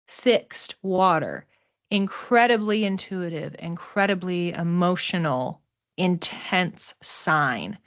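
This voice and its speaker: A woman presenting an astrology forecast in an explanatory way, measured and broken into short phrases with pauses. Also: fairly low pitch.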